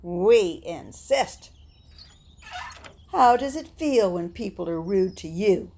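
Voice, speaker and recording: A woman playing slow, gravelly-voiced Bee. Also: medium pitch, expressive, dark tone. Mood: cold. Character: Bee, a vengeful woman who stings rude people. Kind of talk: radio drama acting.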